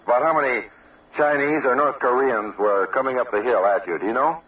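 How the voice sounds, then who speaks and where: A man's voice: medium pitch, brisk. A man working as a radio reporter, conducting an on-location news interview. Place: outdoor observation post. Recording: dull, distant old radio field recording.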